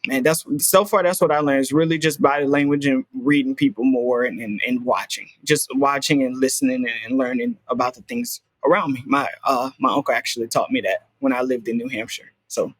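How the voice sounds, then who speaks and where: A man's voice: medium pitch, animated, a little fast, few pauses, fairly bright tone, no echo, rough recording. Young male guest in his twenties; sincere, searching delivery, dry sound. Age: 20s. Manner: earnest, reflective, with frequent fillers and restarts. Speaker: podcast guest. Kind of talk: casual interview conversation.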